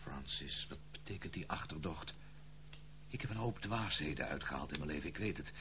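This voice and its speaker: An actor playing a charming, spendthrift prodigal son in an old Dutch radio play, pleading in a theatrical, hesitant way. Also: male voice, rumbling low, lively intonation, a little fast. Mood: wounded pride. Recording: muffled phone-like old radio recording, hum.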